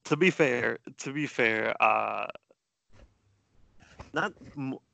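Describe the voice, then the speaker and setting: A man from the southern United States talks loosely on a podcast, circling around his point as he hunts for words. His voice is bright and edgy with a gravelly crackle, and it sounds dry and close to the mic. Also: medium pitch, very slow, no echo. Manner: rambling, searching for words. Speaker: podcast co-host. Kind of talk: casual chat.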